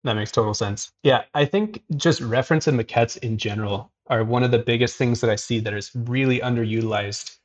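A man's voice: medium pitch, a little fast, hardly any pauses. A man hosting an art livestream, talking casually with many fillers and restarts. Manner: casual, filler-heavy, frequent "like" and "um". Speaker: host. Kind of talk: livestream chat.

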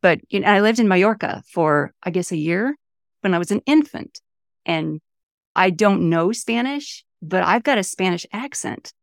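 A woman's voice: lowish voice, lively intonation, normal speed, creaky, no room sound, studio quality. A woman in her fifties from the St. Louis area, an author chatting enthusiastically as a podcast guest. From St. Louis area, USA. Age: 50s. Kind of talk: casual interview talk.

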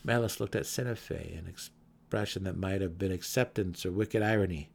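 A man giving a dramatic, theatrical reading of a fantasy novel as an audiobook narrator, with measured phrasing. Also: low voice, gravelly, slightly rough.

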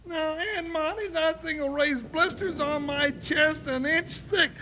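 An actor playing a bumbling, big-hearted cowboy sidekick with a high, crackly voice that complains and fusses, in 1950s radio western acting. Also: male voice, unhurried, bright, forceful tone, heavily acted. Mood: whiny pained. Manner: complaining, fussing.

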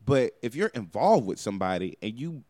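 A man hosting a podcast, talking in a loose, animated way and swearing often. His voice sits at an ordinary middle pitch. The sound is close and dry, with no room echo. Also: a little fast. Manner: animated storytelling, swears freely. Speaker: podcast host. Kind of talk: casual chat.

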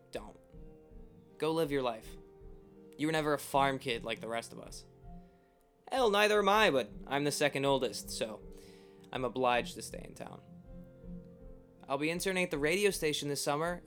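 A man's voice: a little high, fairly bright tone. An actor playing a teasing, affectionate younger man recording an informal message to his sister in a radio play. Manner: chatty, wry, joking. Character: Greg, teasing affectionate young brother of the bride.

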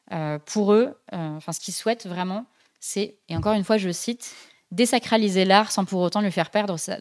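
A woman's voice: fairly low pitch, darkish tone, clear, resonant tone. A young woman hosting a casual podcast, talking chattily with many hesitations and fillers. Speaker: podcast host.

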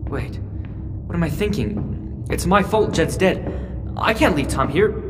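A frightened, defiant teenage boy in a sci-fi audio drama, his voice on the high side. He stammers and mutters urgently to himself, with music clearly behind him. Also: lively intonation, measured pace. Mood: guilty, torn. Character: scared, defiant teenage boy stranded on an exploding ship.

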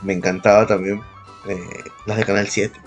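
A man with a Peruvian accent and a husky voice chatting casually as a podcast co-host, slangy and profane. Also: lowish voice, flat delivery, moderate pace, faint music behind. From Peru. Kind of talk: casual chat, reminiscing.

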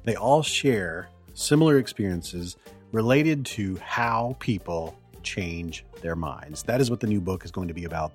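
A man hosting a podcast, talking alone in a hoarse voice as he gets over the flu, rambling and correcting himself as he goes. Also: fairly low pitch, a little mellow. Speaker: podcast host. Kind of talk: solo podcast monologue.

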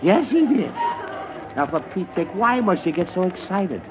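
A man's voice: high-pitched, sing-song, normal speed, a crowd in the background. An actor playing an exasperated, sputtering middle-aged father in a 1940s radio comedy. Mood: impatient. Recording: muffled, hissy 1940s radio recording.

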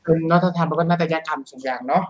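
A man co-hosting a podcast, chatting casually in a crude, slangy, excitable manner. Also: a little high, rapid-fire, highs cut off. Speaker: podcast co-host. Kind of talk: casual chat.

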